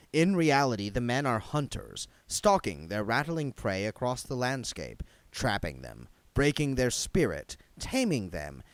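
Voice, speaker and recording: Male amateur audiobook reader, mid-pitched with wide intonation, dramatic storytelling, dry close sound. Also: dry recording. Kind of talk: scripted audiobook narration.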